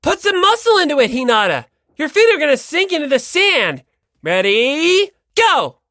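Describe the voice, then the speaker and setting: A reader putting on a high, brash sporty girl. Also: very animated, normal speed, no room sound, studio quality, heavily acted. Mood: gung-ho. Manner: forceful, gung-ho. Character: loud, brash, energetic athletic teenage girl.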